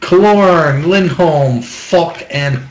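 Slow-spoken man reading out trading cards. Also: fairly high pitch. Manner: quick list-reading with offhand jokes and complaints. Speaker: box break host. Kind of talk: reading out trading cards while opening packs. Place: small room at home, table. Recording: echoey room, faint background noise.

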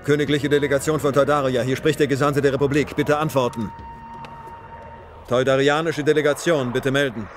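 A man playing a dutiful, brisk soldier officer with a clipped military manner. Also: medium pitch, steady tone, soft background music, natural voice. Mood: businesslike.

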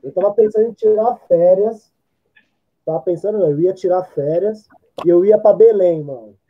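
Husky, high-voiced man on a video call. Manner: relaxed storyteller, drawn-out slang asides. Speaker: podcast co-host. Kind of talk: casual chat on a video call. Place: home room with bookshelves. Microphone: laptop or earphone mic on a video call. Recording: dull lo-fi video call audio.

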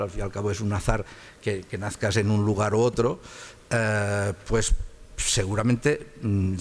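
An older man from Catalonia speaks as a guest lecturer in a lecture hall, his voice sitting on the low side. He talks in a loose, conversational way, stumbling and repeating small words while he searches for the next phrase. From Catalonia, Spain.

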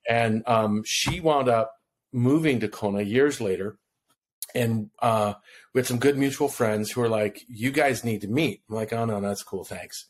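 A man from Hawaii in his fifties tells a personal story as a podcast guest, relaxed and rambling, acting out the lines of the people in it. He speaks into a podcast microphone from home. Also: mid-range voice, a little slow. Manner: relaxed, rambling storyteller who acts out quotes. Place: home room on video call. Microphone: podcast mic in front of him.

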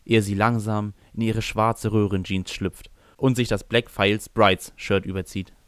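A man's voice: on the low side, level tone, a little slow, clean tone, no room sound. A man reading a scripted first-person narration for a fan fiction audio drama.

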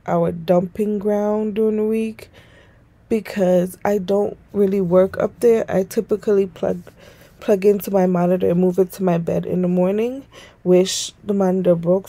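A woman's voice: medium pitch, gravelly, mellow, no room sound. A young adult woman narrating a home vlog in a casual, informal, rambling way. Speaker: home vlogger and mother.

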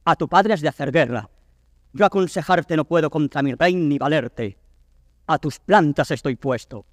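A man's voice: fairly high pitch, normal speed, clean studio sound, close to an everyday voice. A male actor playing a proud, loyal noble courtier in declamatory verse drama, his voice reverberant. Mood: earnest, submissive. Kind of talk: classical Spanish verse stage acting.

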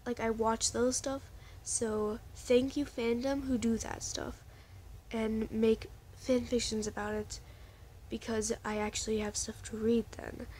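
A woman's voice: a little high, little pitch movement, a little slow, warm tone, a little hiss or hum. A woman casually chatting to her viewers in a rambling vlog, often restarting her sentences. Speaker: vlogger.

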